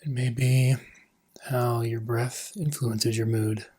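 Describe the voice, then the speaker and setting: Male meditation coach, husky mid-pitched voice, gentle phrasing with pauses, lines tapering off softly. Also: flat delivery. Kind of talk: guided meditation.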